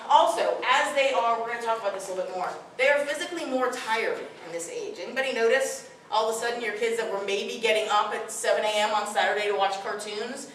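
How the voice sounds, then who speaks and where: Middle-aged woman, strong voice, firm and direct talk to a crowd, fairly high, very echoey room heard from far off. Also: a bit bright, slight background noise. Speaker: parenting workshop speaker. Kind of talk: addressing a live audience. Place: room with audience.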